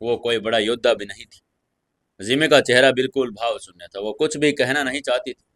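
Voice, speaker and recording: A man's voice: medium pitch, fairly quick, fairly bright tone, no echo. A man reading a scripted romantic serial as a storyteller, with dramatized delivery. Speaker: audio story narrator.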